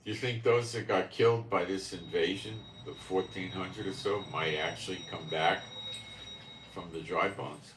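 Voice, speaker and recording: Deep-voiced man musing on a live stream. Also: steady tone, normal speed, a bit bright, a little hiss or hum. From America. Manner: musing, speaks in short broken phrases. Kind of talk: casual live stream commentary. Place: home room in Israel. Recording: echoey room, far from mic.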